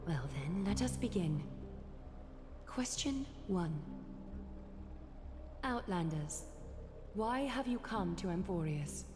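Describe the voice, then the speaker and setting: A woman voicing a stern, regal female guardian who speaks in a formal, measured way. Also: on the low side, lively intonation, noisy background. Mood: solemn. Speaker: guardian judging outsiders. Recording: game audio with reverb and music.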